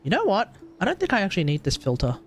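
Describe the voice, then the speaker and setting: A man's voice: high-pitched, wildly swooping pitch, fast talker, faint music behind. A man with an Australian accent chatting casually and ramblingly as a livestream host. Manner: rambling, mixes in Japanese phrases.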